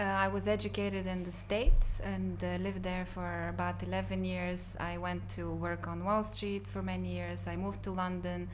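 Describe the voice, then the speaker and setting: A middle-aged woman of Bulgarian and Polish background, a company co-founder, speaking in a measured, businesslike way in an interview. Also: on the low side, slight background noise. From Bulgarian and Polish parents. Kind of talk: casual interview talk.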